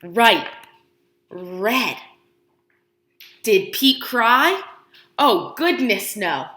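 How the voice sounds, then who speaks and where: A woman teacher doing an expressive picture book read-aloud for young children, asking questions with encouraging, exaggerated delivery and pausing for answers. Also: on the high side, reverberant.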